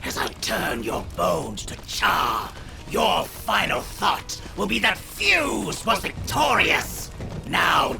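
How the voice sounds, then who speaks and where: A man voicing a vengeful, imperious armored reptilian villain in a video game, with a gravelly, theatrical delivery. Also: sits high, huge pitch swings, pushed and bright, shouting, soft background music, heavily acted. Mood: enraged. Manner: slow, menacing, theatrical. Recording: rain and noise behind the voice.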